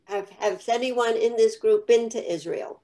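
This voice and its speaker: Female presenter, unhurried, fairly high voice, informal hosting, lightly noisy call. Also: dark tone. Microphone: video call. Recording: faint background noise, video call.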